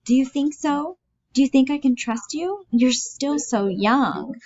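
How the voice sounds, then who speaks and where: A woman's voice: sits high, lively intonation, measured pace, a little mellow, pitched above the performer's own voice, heavily acted. A woman voicing an anxious, childlike, pleading grown woman in a stage play. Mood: tearful, anxious. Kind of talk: reading a stage play aloud. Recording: dull, muffled lo-fi audio.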